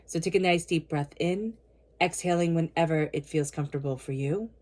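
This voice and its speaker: A woman with a cold giving a tarot reading, speaking earnestly and slowly repeating her invocations. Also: low voice, darkish tone. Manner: soothing guided meditation. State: has a cold. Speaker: tarot reader.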